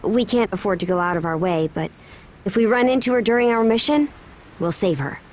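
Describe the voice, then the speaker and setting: An actress plays a stern young woman in command of a seafaring crew in a video game. Her pitch is average for a woman. She speaks bluntly and with composure, practical and firm. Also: expressive, a little fast, lightly acted. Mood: stern, measured. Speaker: voice actor. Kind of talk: video game cutscene acting.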